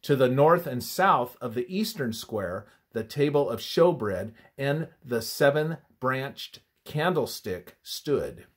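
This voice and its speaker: A man reading a scripted religious study in a measured, instructive manner, his phrases often trailing off softly at the ends. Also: medium pitch, animated, slightly rough.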